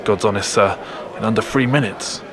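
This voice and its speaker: An actor playing a blunt, world-weary British army sergeant of the First World War in an audio drama, with a colloquial London accent. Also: male voice, lowish voice, a little fast, crowd noise, natural voice. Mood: weary, recounting.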